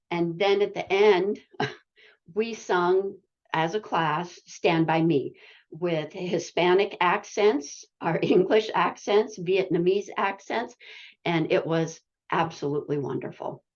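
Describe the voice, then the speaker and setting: Lady teacher rambling thru a class story, kinda low voice, dull lo-fi call sound. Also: a little mellow. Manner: chatty, many fillers, laughs while telling. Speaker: adult ESL teacher. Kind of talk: casual anecdote sharing. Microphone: video call.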